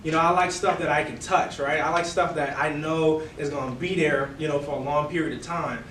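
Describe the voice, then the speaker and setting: A young man with a Bahamian accent giving a relaxed, conversational talk to a live audience, full of "you know" and "right?". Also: a little high, a little fast, slight background noise. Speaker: guest speaker. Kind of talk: casual presentation to a live audience. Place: event room before an audience. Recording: roomy, distant room mic.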